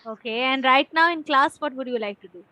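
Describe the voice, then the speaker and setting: A woman's voice: sits high, a little fast, sharp tone, slight background noise, rough recording. A woman with an Indian accent, an English teacher chatting casually and encouragingly with a student through simple questions. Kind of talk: casual conversation practice.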